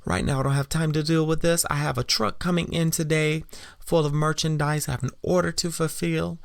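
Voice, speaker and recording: A man's voice: on the high side, little pitch movement, brisk, vocal fry, smooth, no room sound, clean studio sound. A man telling a first-person dramatic story as a woman character, in a reflective, theatrical manner. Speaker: podcast storyteller.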